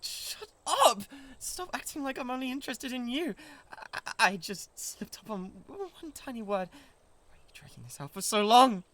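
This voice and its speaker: A man's voice: very high voice, big pitch swings, normal speed, bright, forceful tone, no room sound, full character voice. A man with a British accent playing a lovesick, possessive giant who stammers and gets defensive in a comic audio roleplay. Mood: exasperated. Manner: stammering, pleading, easily riled defensiveness.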